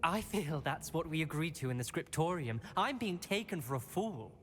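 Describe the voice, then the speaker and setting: An actor playing a cautious young male student who speaks in a measured, reproachful way. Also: a little high, expressive, a bit bright, slight background noise.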